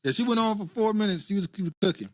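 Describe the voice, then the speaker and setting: A man's voice: high-pitched, very fast, no room sound. A man hosting a casual commentary show, reacting to clips with frequent "uh" fillers and laughs. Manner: relaxed, laughing, filler-heavy. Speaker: show host.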